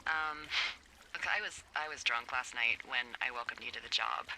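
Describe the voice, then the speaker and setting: A woman's voice: extremely low pitch, normal speed, bright, forceful tone, a little hiss or hum, exaggerated voice, low-quality recording. A woman playing a wry, teasing fire lookout supervisor in naturalistic video game dialogue, playful and sarcastic. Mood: awkward, apologetic. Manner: playful, sarcastic, hesitant when earnest.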